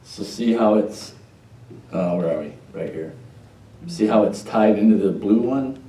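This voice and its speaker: A man's voice: fairly low pitch, unhurried, dark tone, roomy sound, recorded from a distance, low-quality recording. A man giving patient, step-by-step hands-on training on a church mixing desk, testing a mic as he goes. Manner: patient, explains step by step, tests the mic with "check, one, two". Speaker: sound board trainer. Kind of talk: hands-on technical instruction. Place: church sound booth, indoors.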